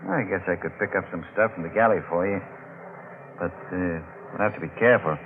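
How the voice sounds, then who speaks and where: A male actor plays a guilty deep-sea diver with blood on his hands, a cornered man in an old radio mystery. The sound is narrow and hissy, like a worn vintage broadcast. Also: brisk, natural voice. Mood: suspicious, gruff. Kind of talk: old-time radio drama acting. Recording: noisy, narrow-band vintage radio recording.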